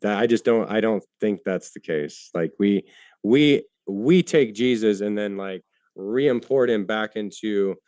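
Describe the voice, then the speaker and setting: A man in a debate who answers haltingly, with many fillers and restarts and some casual swearing. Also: lowish voice, darkish tone, no echo. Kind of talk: live debate.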